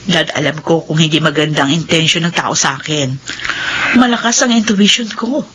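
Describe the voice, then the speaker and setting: A woman's voice: sits low, normal speed, a bit bright, a little hiss or hum. An actress playing a loyal, self-assured young career woman defending herself as a daughter in a radio drama. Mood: defensive, flustered. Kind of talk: Filipino radio drama acting.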